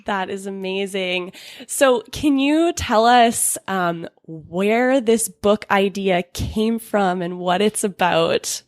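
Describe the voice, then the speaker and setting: A woman's voice: medium pitch, no room sound, studio quality. A woman hosting a podcast interview, speaking in an upbeat, welcoming way. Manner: upbeat and welcoming, quick to laugh. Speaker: podcast host.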